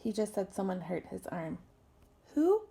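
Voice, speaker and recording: A woman's voice: mid-range voice, sing-song, a little slow, creaky, mellow, a little hiss or hum. A woman chatting casually in a playful family vlog. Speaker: family vlogger.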